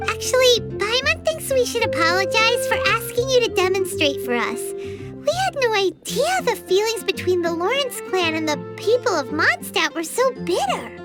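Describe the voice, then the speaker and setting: A tiny, excitable fairy companion from a fantasy game. Her voice sits extremely high and leaps around with lively swings in pitch. She speaks of herself in the third person, and music plays behind her. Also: moderate pace. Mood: chirpy. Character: small chirpy female fairy companion, excitable.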